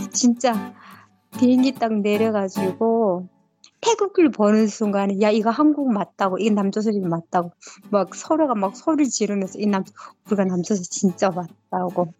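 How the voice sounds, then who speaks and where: A woman's voice: medium pitch, normal speed, warm tone, soft background music. A woman in her thirties from North Korea, with a North Korean accent, telling her own story in an interview with many fillers and restarts. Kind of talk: personal recollection in an interview. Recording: dull lo-fi dry recording.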